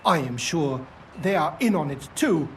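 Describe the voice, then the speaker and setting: An actor playing a nervous, defensive middle-aged ore assayer with a German accent, for a video game. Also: male voice, fairly high pitch, animated, normal speed, a bit bright, a little hiss or hum. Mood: fearful. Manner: defensive, then frightened and confessing.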